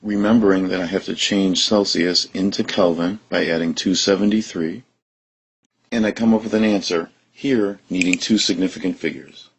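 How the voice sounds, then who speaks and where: A man teaching a chemistry lesson and working through gas law problems in a steady, methodical way. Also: sits low, moderate pace, slight background noise, rough recording. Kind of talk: recorded lesson explanation.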